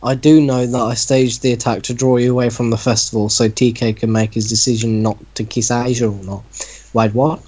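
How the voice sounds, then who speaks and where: A man's voice: medium pitch, level tone, brisk, a little mellow, breathy edge, lightly acted. A man voicing teenage male friends with an Australian accent in a theatrical reading of amateur melodrama. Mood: earnest. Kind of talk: dramatic reading of amateur fiction.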